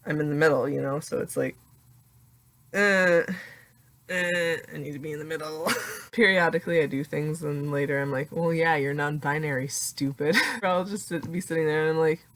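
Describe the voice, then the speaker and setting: A young adult woman with a deeper voice talking casually in a confessional vlog, full of "like" fillers and laughing often. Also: moderate pace, fairly bright tone. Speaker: vlogger.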